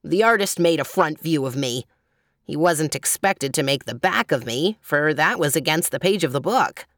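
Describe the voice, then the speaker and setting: A woman's voice: on the low side, sing-song, a little slow, no echo. An impudent male clown out of a children's book, performed by a woman reader. He is silly and a bit rude, with a cross, sulky edge. The sound is dry and close. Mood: annoyed. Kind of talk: children's storybook character reading.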